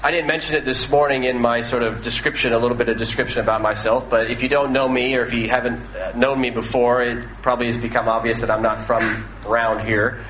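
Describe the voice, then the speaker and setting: A man's voice: mid-range voice, fairly even intonation, fast, few pauses, roomy sound, a crowd in the background, poor audio. An American man in his thirties preaching a sermon in a conversational way, with frequent fillers and restarts. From northwestern United States. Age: 30s. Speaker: pastor.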